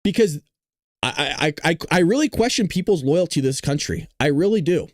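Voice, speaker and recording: Male political host: blunt, indignant, emphatic, slightly high voice, dry sound. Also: lively intonation, moderate pace, no room sound. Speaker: political commentary show host. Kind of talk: opinionated political commentary to viewers.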